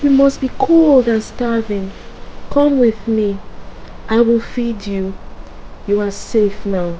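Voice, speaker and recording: A sinister old storybook witch, with a raised, theatrical voice. She coaxes with cloying, drawn-out words that carry menace, over clearly audible background ambience. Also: on the high side, fairly even intonation, mellow, lo-fi sound, slight background noise, voice pushed up. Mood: falsely sweet. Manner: cloyingly sweet, drawn-out coaxing.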